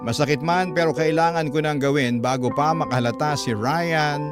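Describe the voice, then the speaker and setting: A man's voice: fairly high pitch, normal speed. A man narrating a scripted first-person story for a radio drama, with piano music behind him. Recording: prominent music under the voice.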